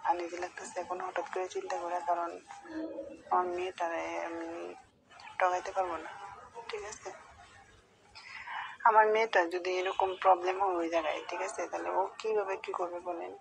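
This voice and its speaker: A woman's voice: lowish voice, level tone, normal speed, vocal fry, pauses often, noisy background. A middle-aged woman, speaking hesitantly and pleadingly on a recorded phone call, often repeating herself. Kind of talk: private phone conversation.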